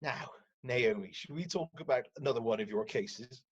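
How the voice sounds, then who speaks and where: An actor playing a measured, patient but firm counselling team leader in a comedic radio play, speaking formally. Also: mid-range voice, breathy edge, highs cut off, close to an everyday voice. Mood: brisk, encouraging. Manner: formal, professional, admonishing. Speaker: team leader chairing a caseload meeting.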